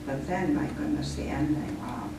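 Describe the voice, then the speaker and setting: A woman's voice: rumbling low, moderate pace. A woman leading an informal poetry discussion, talking through the text and putting questions to the group. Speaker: discussion leader. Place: indoor room at a senior center. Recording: very echoey room, distant mic, loud noise.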